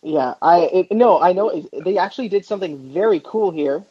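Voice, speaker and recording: A man's voice: high voice, expressive, a little fast, slightly rough, dry recording. A man chatting casually and informally as co-host of a sports podcast, giving his opinions. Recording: dull, lo-fi audio.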